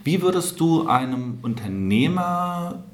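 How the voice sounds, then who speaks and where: Energetic male interview host. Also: on the high side, takes it slowly. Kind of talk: business interview.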